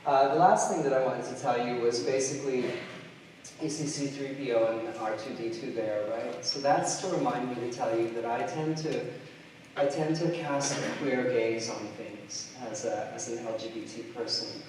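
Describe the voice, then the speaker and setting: A middle-aged man from Trinidad and Tobago giving a conference keynote, halting often with fillers and restarts. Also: mid-range voice, steady tone, darkish tone, a little hiss or hum. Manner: hesitant, many fillers and restarts. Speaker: keynote speaker. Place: large lecture hall. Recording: distant mic in an echoey hall.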